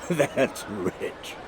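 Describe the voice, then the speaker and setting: An actor playing an indignant, defiant old man in a video game, speaking bluntly and combatively. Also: noisy background. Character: indignant, defiant old male property owner. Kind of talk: video game cutscene acting.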